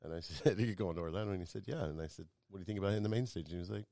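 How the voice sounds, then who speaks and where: Deep-voiced man chatting effusively. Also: racing, creaky, mellow, no room sound, studio quality. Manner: effusive, informal. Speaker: podcast guest, hairdresser. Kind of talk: casual anecdotal chat.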